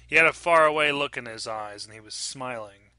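A man's voice: mid-range voice, brisk, sharp tone, clear, resonant tone, dry recording, rough recording. A man reading scripted fiction aloud as a dramatic narrator. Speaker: story reader and narrator.

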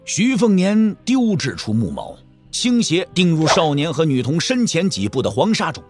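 A man's voice: on the high side, wildly swooping pitch, moderate pace, darkish tone, faint music behind. A man narrating a martial-arts audio drama as a dramatic scripted storyteller.

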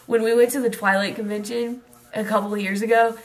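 A woman's voice: fairly high pitch, little pitch movement, fast. A woman, chatting earnestly with a friend on a webcam in a small room, rambling and restarting her sentences. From Texas. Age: mid 20s. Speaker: vlogger. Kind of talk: casual chat with a friend. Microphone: webcam in front.

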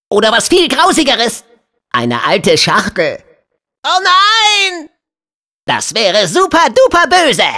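A woman's voice: on the high side, pushed and bright, heavily acted. A woman voicing a mischievous, gleefully wicked little imp boy in a cartoon video game, exclamatory and childishly taunting. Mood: frightened.

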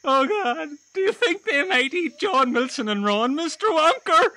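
Shrill, anxious, fretting castaway. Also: male voice, sing-song, moderate pace, sharp tone, full character voice. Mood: frightened. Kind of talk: comedy audio drama acting.

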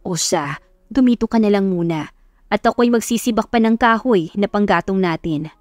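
A woman's voice: mid-range voice, normal speed, darkish tone, dry recording, clean studio sound, faint music behind, lightly acted. A woman voicing a loving, hardworking middle-aged mother, tender and sentimental, in an audio love story. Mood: tender, proud.

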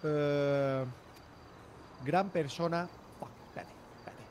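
A man's voice: on the high side, takes it slowly, slight background noise. Bossy man directing a scene. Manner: bossy, excitable, shouts orders. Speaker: roleplayer acting as film director. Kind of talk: casual game roleplay chat.

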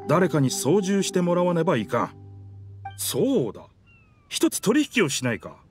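A man's voice: sits high, sing-song, normal speed, slightly rough, no room sound, faint music behind, exaggerated voice. A man voicing a proud talking pirate ship in a video game, with boastful, theatrical, old-fashioned speech. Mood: confident, persuasive. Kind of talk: Japanese video game character dialogue.

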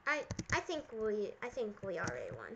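A woman's voice: a little high, wildly swooping pitch, a little fast, gravelly, lo-fi sound. A girlish female host chatting casually and a little bossily while roleplaying in a video game. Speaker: Minecraft video host playing Elizabeth in a roleplay. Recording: noisy home microphone with clicks.

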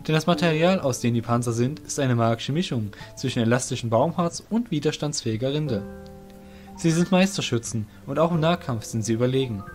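A man's voice: mid-range voice, a little mellow. A man narrating a fantasy audio drama in a scripted storytelling style.

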